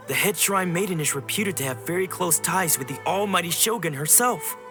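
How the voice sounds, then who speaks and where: A man's voice: a little high, close to an everyday voice. A man playing an earnest, reassuring young sword disciple in a video game, polite and explanatory.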